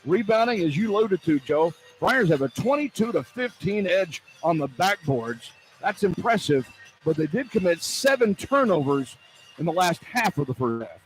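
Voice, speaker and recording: A man's voice: sits high, very animated, normal speed, a little hiss or hum, rough recording. A man working as a radio play-by-play announcer, giving live halftime sports commentary from inside an arena.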